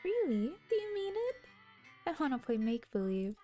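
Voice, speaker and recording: Sweet pouting kid sister, high and teasing, mellow tone. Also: female voice, sing-song, moderate pace, faint music behind. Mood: playful. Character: young girl, playful pouting little sister.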